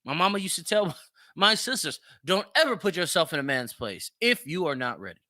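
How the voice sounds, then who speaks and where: Animated, high-pitched man giving his take. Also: fairly quick, dry recording, studio quality. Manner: emphatic, repeats phrases for effect. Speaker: podcast host. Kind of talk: opinionated solo commentary.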